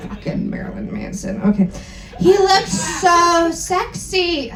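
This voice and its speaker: A woman performing a comedic dramatic reading on stage, with exaggerated emphasis, deliberate mispronunciations and joking asides. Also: very high-pitched, big pitch swings, music in the background. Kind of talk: comedic dramatic reading of bad fan fiction. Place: indoor event stage on a cruise. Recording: roomy live venue, faint background noise.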